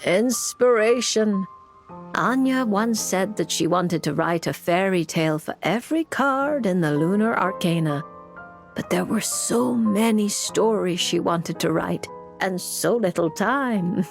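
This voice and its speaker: An actress playing a composed, mysterious noblewoman fortune-telling mage, theatrical and deliberate, in a video game. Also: mid-range voice, animated, creaky. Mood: wistful, inviting. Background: music.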